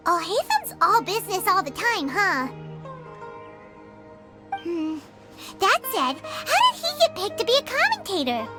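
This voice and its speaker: A woman voicing a tiny, excitable, nosy childlike fairy companion in a video game, with a squeaky voice. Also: measured pace, pauses often, heavily acted. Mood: curious, musing. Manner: excitable, nosy, speaks of self in third person. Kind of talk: video game character acting. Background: background music.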